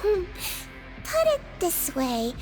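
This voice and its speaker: A small, sweet female nurse in a video game. Her voice is extremely high, light and girlish. She speaks politely and coaxingly, slyly teasing, over faint music. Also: huge pitch swings, slow, a little mellow, exaggerated voice. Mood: gently coaxing.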